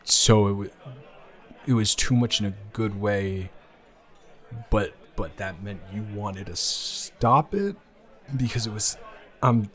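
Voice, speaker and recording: A man's voice: lowish voice, a bit bright. A man playing a confused, hesitant friend with benefits who stammers and hedges through an improvised intimate audio roleplay. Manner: halting, stammering, hedging.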